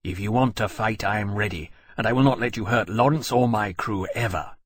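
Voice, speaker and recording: A man's voice: on the low side, brisk, slightly rough, no echo, clean studio sound. A man voicing a young male dragon who speaks bluntly and defiantly. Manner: earnest, blunt.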